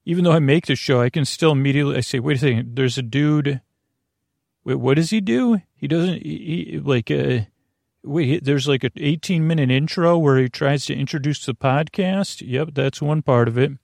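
Creaky-voiced man, rambling and soothing. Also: fairly high pitch, normal speed, darkish tone, dry recording, clean studio sound. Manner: meandering, stammering, tangent-prone, self-questioning; soothing, lulling, drowsy delivery. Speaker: podcast host. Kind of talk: rambling bedtime podcast monologue.

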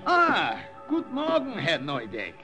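An actor playing a wary, gentle older German professor with an accent, careful and evasive, in a radio drama. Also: male voice, sits high, huge pitch swings, slow pace, over music, full character voice. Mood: nervous. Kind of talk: 1950s radio drama acting.

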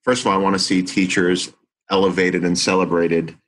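A man's voice: low voice, flat delivery, moderate pace, a bit bright. A man in his forties, a teacher, talking earnestly and reflectively in an interview, often restarting his sentences. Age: 40s. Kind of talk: interview conversation.

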